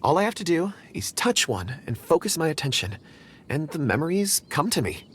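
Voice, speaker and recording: A man's voice: fairly high pitch, big pitch swings, normal speed, fairly bright tone, breathy edge, heavily acted. An actor playing a polite, modest young man in a video game, earnest and a little hesitant. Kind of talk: video game character acting.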